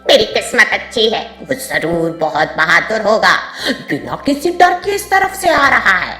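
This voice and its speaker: Woman doing a taunting mischievous lady ghost for a cartoon tale, bright piercing voice, roomy echo. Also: medium pitch, big pitch swings, measured pace, soft background music. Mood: gleeful, gloating. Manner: taunting, theatrical, gloating. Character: mischievous gleeful female ghost who loves scaring travellers. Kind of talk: Hindi cartoon moral story voice acting.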